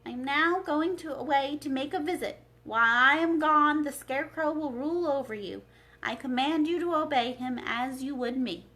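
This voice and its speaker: A woman's voice: high-pitched, normal speed, pushed and bright, voice pushed up. A woman voicing a weary old humbug wizard in a storybook, earnest and emphatic as he explains himself. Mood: loud, urgent.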